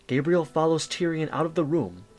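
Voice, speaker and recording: A man's voice: a little high, expressive, normal speed, a little mellow, soft background music. A man narrating a dramatic story from a script for an audio drama.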